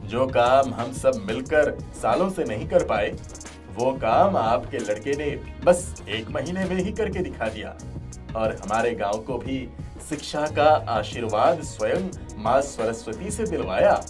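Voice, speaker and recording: A man's voice: medium pitch, expressive, measured pace, soft background music, natural voice. A man playing an earnest, respectful villager in a cartoon moral story, speaking politely and formally. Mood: grateful.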